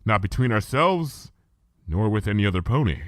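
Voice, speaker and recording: A man's voice: fairly low pitch, sing-song, moderate pace, a bit bright, no echo, clean studio sound. A man voicing a regal, archaic-speaking female moon princess pony in a fan fiction reading, with formal thee-and-thou speech. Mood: solemn.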